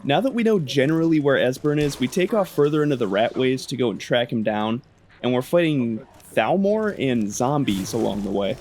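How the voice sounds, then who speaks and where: A man's voice: mid-range voice, animated, normal speed, darkish tone. A man casually narrating his video game playthrough in a joking, slangy, conversational way. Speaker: gaming video creator.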